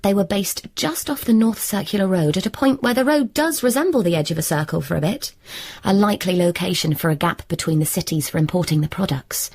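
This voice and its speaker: An actress playing a brisk, dry-witted British woman who narrates and acts in a radio comedy drama. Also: lowish voice, husky. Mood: wry narration. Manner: deadpan, wordplay-loving, assertive. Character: brisk, dry-witted, self-appointed guardian of London, narrating. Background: music.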